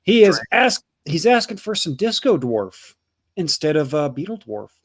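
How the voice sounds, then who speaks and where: Lively-voiced man hosting a livestream. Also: fairly high pitch, moderate pace. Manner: chatty, swears casually. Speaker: livestream host reading donations. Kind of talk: casual livestream chat.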